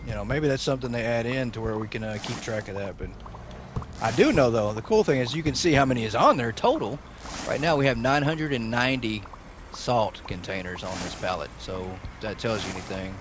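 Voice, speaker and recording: A man hosting a gaming channel, chatting casually over gameplay in a rambling, jokey way full of fillers, his voice going slightly hoarse. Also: medium pitch, lively intonation, brisk. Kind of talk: casual let's-play commentary. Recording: noisy background under the voice.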